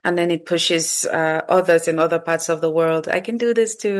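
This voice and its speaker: A woman hosting an interview podcast, welcoming her guest with effusive thanks and putting questions to her. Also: fairly low pitch. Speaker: podcast host.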